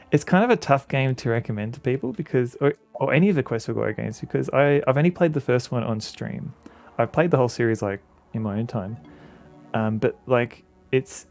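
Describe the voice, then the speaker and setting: Male streamer, mid-pitched voice, rambling, chatty restarts, faint game music underneath. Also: darkish tone. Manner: rambling, frequent restarts and fillers. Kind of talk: casual chat with viewers while playing a game.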